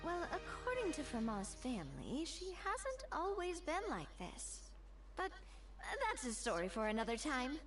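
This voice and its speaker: A woman's voice: sits high, huge pitch swings, a little slow, over music, heavily acted. A woman voicing a poised, sociable young lady in a game, thoughtful and conversational as she explains. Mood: confiding. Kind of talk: game character dialogue.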